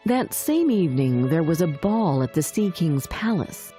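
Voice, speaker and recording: A woman's voice: low-pitched, sing-song, a little slow, warm tone, soft background music. A woman narrator reading a scripted children's fairy tale in a storytelling style.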